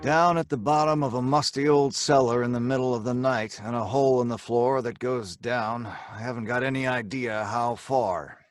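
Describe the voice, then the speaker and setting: A man's voice: medium pitch, steady tone, moderate pace, hardly any pauses, no echo, soft background music, natural voice. An actor playing a wry, stubborn crook in an old-time radio drama, dismissive and telling his story in the first person. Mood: wry, eerie narration. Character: hard-boiled, sardonic male crook who narrates his predicament.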